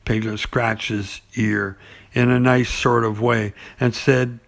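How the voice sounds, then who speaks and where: A man reading a children's bedtime story in an unhurried, halting way, with pauses and small stumbles. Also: mid-range voice, gravelly. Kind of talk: bedtime story reading with asides and character voices.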